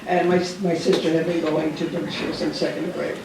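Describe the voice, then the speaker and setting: An older man giving a rambling, halting talk about his childhood, with many restarts and fillers, recorded in a large hall at a dinner event. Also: fairly high pitch, flat delivery, very noisy. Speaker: guest speaker. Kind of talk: personal wartime memoir talk. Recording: echoey hall heard from a distance.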